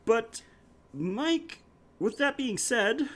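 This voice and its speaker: A man's voice: very high voice, sing-song, very slow, a bit bright. Joking male podcast host with a cold. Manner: joking, self-deprecating. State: head cold. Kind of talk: casual chatty podcast hosting.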